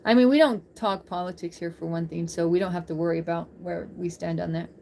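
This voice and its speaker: A woman's voice: lowish voice, steady tone, fairly quick, gravelly, dark tone, slight background noise. A woman hosting a livestream, chatting casually with viewers in an informal, rambling, giggly way.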